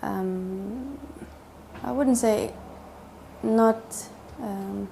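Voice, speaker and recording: A woman's voice: mid-range voice, very slow, creaky, dark tone, a little hiss or hum. Hesitant woman telling her story. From Denmark. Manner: hesitant, many fillers and restarts. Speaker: interviewee. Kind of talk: personal testimony interview.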